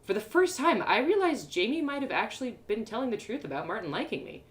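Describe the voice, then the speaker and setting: A woman narrating a first-person fantasy tale as a storyteller, expressive and playful. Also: a little high, fast talker.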